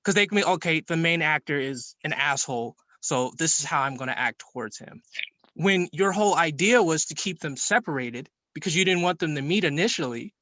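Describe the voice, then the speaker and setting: A man's voice: fairly high pitch, lively intonation, fairly quick, sharp tone, no echo. A man in an informal class discussion, explaining his opinion emphatically and rephrasing his points as he goes. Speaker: participant in a film class group meeting.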